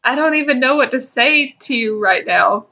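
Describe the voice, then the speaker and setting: A woman's voice: sits high, expressive, moderate pace, sharp tone, muffled recording. A woman co-hosting a casual comedic podcast with teasing, emphatic banter. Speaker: podcast co-host.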